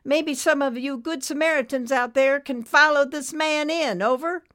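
A woman voicing a motherly, folksy nurse talking briskly over a trucker radio in an audiobook story. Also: high-pitched, a bit bright, no echo, clean studio sound, voice pushed up. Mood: brisk concern.

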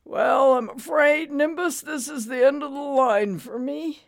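A woman voicing a gentle, folksy older male trucker who is ailing, in an audiobook story. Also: sits high, measured pace, pitched above the performer's own voice. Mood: fading, resigned.

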